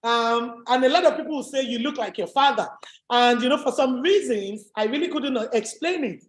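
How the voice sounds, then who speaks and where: A woman with a deep voice, giving an emphatic, motivational webinar talk as a business coach. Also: normal speed. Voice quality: deep, low voice. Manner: emphatic, repetitive, rallying. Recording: echoey room.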